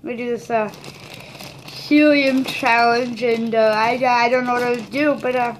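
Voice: medium pitch, flat delivery, unhurried. A young vlogger chatting casually in a giggly, exclaiming, slangy way, recorded on a webcam mic in a small bedroom. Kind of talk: casual challenge vlog chat. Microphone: webcam or laptop mic at arm's length. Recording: noisy home recording with crinkling foil. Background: crinkling, crumpling foil balloon.

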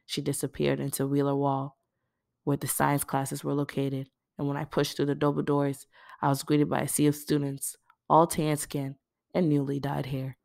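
A woman's voice: deep voice, monotone, moderate pace, vocal fry, a little mellow, no echo, studio quality. A woman reading a first-person novel aloud as scripted narration. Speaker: audiobook reader.

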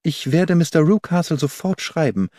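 A man's voice: a little high, very animated, normal speed, dark tone, no room sound, clean studio sound, voice pushed up. A man voicing a resolute, plucky young woman with a determined manner.

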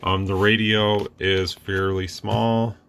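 A deep-voiced man talks through a radio demo at his workbench. He rambles easily, restarting sentences and dropping fillers as he explains. A faint hum sits behind him. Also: level tone, slow pace. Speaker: radio reviewer. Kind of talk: casual product demo. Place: workbench room with radio gear.

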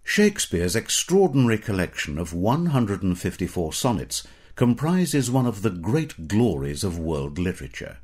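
A middle-aged British man reading scripted educational narration in a measured, formal, literary manner. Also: on the low side, big pitch swings, darkish tone, breathy edge.

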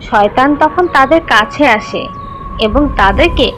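A woman's voice: high voice, lively intonation, slow, noisy background. A woman storyteller giving scripted narration for children's religious cartoon stories.

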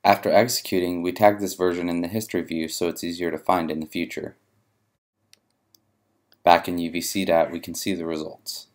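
Male narrator, low register, measured and methodical, for a scripted software demo. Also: little pitch movement. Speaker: software demonstrator. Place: voice-over for a screen recording.

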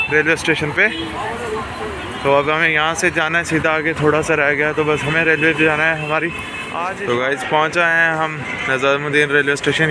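A young man from Jammu and Kashmir talks casually to his vlog viewers through a phone held at arm's length. His voice sits a bit high for a man. Clear city and traffic noise surrounds him as he keeps pointing out the sights. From Jammu and Kashmir, India. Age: young adult. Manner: addresses viewers often, pointing things out. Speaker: travel vlogger. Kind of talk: casual vlog narration to camera. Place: outdoors on busy streets, in vehicles and at monuments. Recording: noisy city street with traffic.

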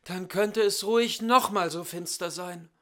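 A man's voice: high-pitched, measured pace, fairly bright tone. A man voicing a brave, steadfast little toy tin soldier in a fairy tale, musing to himself. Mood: wistful.

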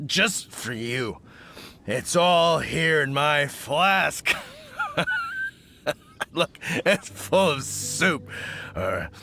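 Drunken hunter dad with wildly swinging pitch. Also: male voice, wildly swooping pitch, vocal fry, pushed and bright, a little hiss or hum, full character voice. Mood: tipsy, muddled, fond. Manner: slurred, halting drunken delivery with hiccups. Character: tipsy, jolly, rambling middle-aged hunter father. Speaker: game character voice actor. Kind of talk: video game character dialogue.

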